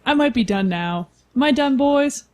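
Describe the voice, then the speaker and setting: A woman's voice: high voice, lively intonation, normal speed, a little mellow. A woman casually describing her character as a player on a tabletop game podcast, wry and playful.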